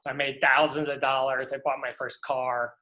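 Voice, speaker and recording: A middle-aged man from Louisiana tells long, meandering stories in an easygoing interview over a video call. He wanders through his thoughts with frequent fillers and restarts, at a pitch typical for a man. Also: level tone, moderate pace, pushed and bright. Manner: rambling, many fillers and restarts. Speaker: prop master guest. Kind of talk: relaxed interview storytelling.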